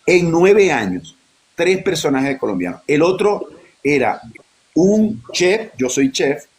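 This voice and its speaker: A man's voice: a little high, takes it slowly. Man telling stories animatedly. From Venezuela (Maracaibo). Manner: animated storytelling, frequent restarts and repetitions. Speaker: actor guest. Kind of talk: live panel conversation.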